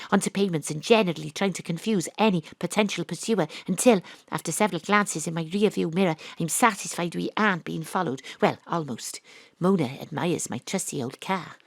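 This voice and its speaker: A woman acting as the narrator and private-eye lead of a radio drama, wry and deadpan in a hard-boiled parody style. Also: on the low side, no echo. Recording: dry home studio.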